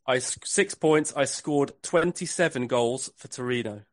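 A man hosting a casual podcast quiz, reading clues slowly and repeating them. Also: mid-range voice, no room sound, studio quality. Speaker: podcast host and quizmaster.

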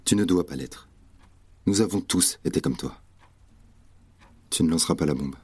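Revolutionary cell leader, deep dark voice, measured, probing, steadying, audio drama. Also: male voice, slightly rough. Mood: reassuring. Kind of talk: French audio drama acting.

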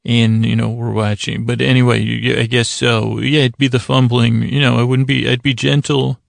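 A man hosting a sleep podcast in a gentle, soothing way, with halting, fumbling, meandering monologue that keeps interrupting itself. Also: mid-range voice, flat delivery, gravelly, dry recording, studio quality. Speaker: podcast host. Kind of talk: rambling bedtime monologue to lull listeners to sleep.

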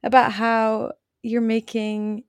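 A woman's voice: a little high, monotone, takes it slowly, clear, resonant tone, no echo. A woman co-hosting a podcast who is not a native English speaker, talking casually and thoughtfully while searching for her words. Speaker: podcast co-host. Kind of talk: casual film discussion.

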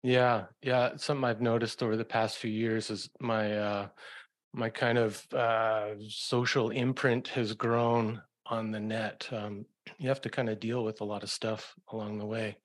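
A man's voice: lowish voice, flat delivery, measured pace. A man hosting a podcast, speaking in a casual conversational style. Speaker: podcast host.

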